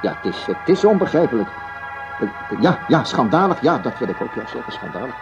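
A man a fussy, flustered office boss in a radio play. His voice leaps about in pitch as he stammers obsequiously or snaps at staff. The recording is muffled, with music around it. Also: big pitch swings, brisk, creaky. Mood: fawning, apologetic. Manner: stammering and obsequious, snapping when giving orders. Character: flustered, fawning male broadcasting boss who barks at staff.

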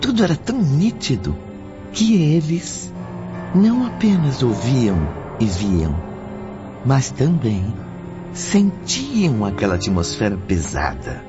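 An actor playing a solemn storyteller, narrating a Brazilian radio drama in a deliberate, dramatic manner. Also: male voice, medium pitch, wildly swooping pitch. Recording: dull lo-fi radio drama, loud music behind.

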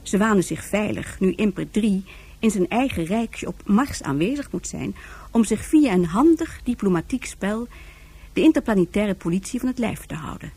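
Mellow female radio drama narrator. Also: medium pitch, expressive, moderate pace, husky. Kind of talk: scripted narration.

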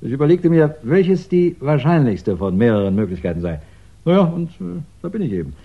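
An actor playing a courteous, wryly amused chief inspector in a 1960s German radio crime drama, soothing and gently condescending. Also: male voice, fairly high pitch, very animated, a little fast, husky, heavily acted. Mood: wry, calm. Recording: dull 1960s radio recording.

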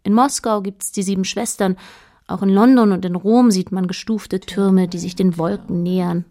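A woman's voice: mid-range voice, fairly even intonation, moderate pace, dark tone, lightly acted. A woman narrating a literary radio play as a detached, observant storyteller, in short plain sentences.

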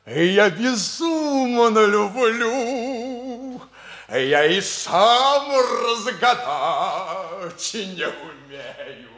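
An actor playing a cavalry officer with a drawling, theatrical manner in a 1960s radio comedy. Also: male voice, high-pitched, expressive, very slow, fairly bright tone, heavily acted. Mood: lovesick.

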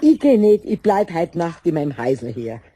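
An actress playing a timid, weary old woman in a radio play, with a husky voice and a Bavarian accent. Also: on the low side, big pitch swings, moderate pace, mellow. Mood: firm, amused. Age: older.

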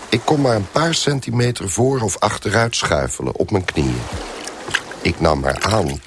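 An actor playing a trapped, bewildered man who tells his own ordeal in a radio drama, in a deliberate, musing, wry manner. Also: lowish voice, animated, noisy background, close to an everyday voice. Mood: puzzled, musing. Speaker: narrator and lead character. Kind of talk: radio drama first-person narration.

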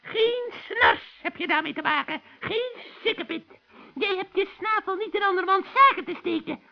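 A man playing a cranky, scheming old forest witch with a shrill, cackling voice in a children's radio play. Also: moderate pace, vocal fry, muffled recording. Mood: irritated scolding. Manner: scolding, exclaiming, wheedling.

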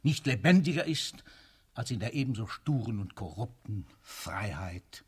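An actor playing a lofty, weary emperor in a radio drama, husky, solemn and grand. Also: male voice, fairly low pitch, sing-song, unhurried, full character voice. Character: weary, lofty emperor Napoleon consoling a friend.

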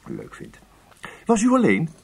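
An actor playing a courteous, quietly sly government investigator in a radio play, with a husky voice and a hesitant, musing way of questioning. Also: male voice, on the high side, wildly swooping pitch, measured pace, a little hiss or hum, heavily acted. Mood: probing. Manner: hesitant, polite, musing, repeats himself. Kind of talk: Dutch radio drama acting.

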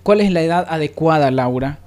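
A man's voice: fairly high pitch, brisk, darkish tone. A man hosting an unscripted radio panel, playful and probing, repeating words for emphasis. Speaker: radio talk show host.

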